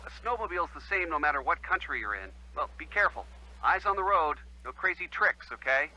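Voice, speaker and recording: An actor playing an upbeat, earnest college-age American boyfriend chatting on a phone call in a video game. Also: male voice, on the high side, animated, fairly quick, bright, forceful tone, exaggerated voice. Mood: caring, practical. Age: young adult.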